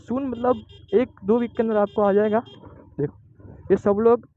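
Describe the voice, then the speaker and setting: A young man from Gujarat chatting excitedly to viewers while riding a motorbike, recorded on a helmet mic. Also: sits high, measured pace, no echo. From Gujarat, India. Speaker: motovlogger. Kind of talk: casual motovlog narration while riding. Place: outdoors on a moving motorbike. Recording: muffled lo-fi audio with wind noise.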